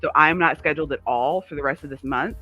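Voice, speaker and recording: A man's voice: a little high, fast, bright, forceful tone, soft background music. A man co-hosting a livestream, chatting casually in a rambling, digressive way. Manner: chatty, digressive, talks to live viewers. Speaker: livestream co-host.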